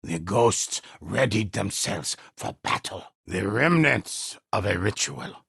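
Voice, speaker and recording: An old video game storyteller with a very low, gravelly voice. He narrates grimly and slowly, savoring each phrase with theatrical menace. The sound is close and dry. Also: expressive, a bit bright, no room sound, exaggerated voice. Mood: grave, ominous. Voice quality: husky, gravelly. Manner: ominous, measured, theatrical. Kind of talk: scripted dramatic storytelling narration.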